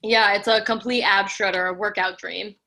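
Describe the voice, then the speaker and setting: Casting: woman to play chirpy, slightly awkward female bar-goer for a play reading; crisp bright tone, chatty manner. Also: mid-range voice, steady tone, moderate pace. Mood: friendly, upbeat.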